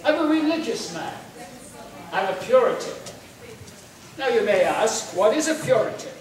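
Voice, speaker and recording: Man playing earnest high-voiced Puritan puppet, William Brewster. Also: sing-song, normal speed. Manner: earnest, declaiming. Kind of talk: puppet show acting. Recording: echoey room, distant mic, faint noise.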